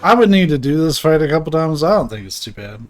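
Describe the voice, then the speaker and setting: A man's voice: on the high side, very animated, fast talker. A man giving casual, informal live commentary as he streams a video game, reacting off the cuff. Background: game music.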